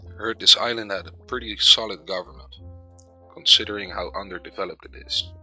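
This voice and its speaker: A man's voice: lowish voice, fairly even intonation, a little fast, bright, forceful tone, faint music behind, rough recording. A man with a Dutch accent reading out an island guard's lines in a video game, in his own plain voice. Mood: warning. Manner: plainly read-out dialogue.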